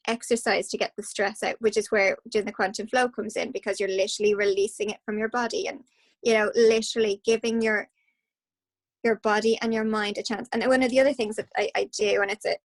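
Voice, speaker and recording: An Irish woman speaking as a guest hypnotherapist, passionate and animated, with quick restarts. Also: medium pitch. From Ireland. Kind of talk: casual interview talk.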